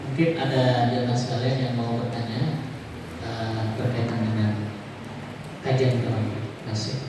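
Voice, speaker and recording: A man moderating formally and a little haltingly, inviting an audience to participate. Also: medium pitch, flat delivery. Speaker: moderator opening questions. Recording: very noisy echoey hall, distant mic.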